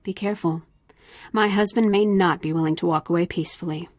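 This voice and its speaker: Woman as husky, determined proud mother. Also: lowish voice, fairly quick, darkish tone, close to an everyday voice. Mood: urgent, scornful. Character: proud, determined mother with scorn for her husband. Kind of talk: video game voice acting.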